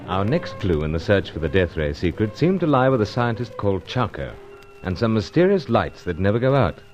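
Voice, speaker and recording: A brisk secret agent speaks in a low, animated voice. His phrasing is clipped and well-bred, with an edgy streak, in the manner of an old radio adventure serial. The recording is dull and lo-fi. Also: loud music behind the voice. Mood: brooding narration. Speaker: lead actor narrating and playing the secret agent.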